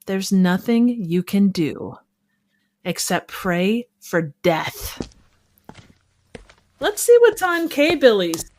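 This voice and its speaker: A woman playing a cool, sadistic psychopathic gangster in an audio drama, deadpan and taunting. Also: mid-range voice, drawn-out words, pauses often. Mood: menacing. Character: cool, sadistic female psychopathic gangster who enjoys torture.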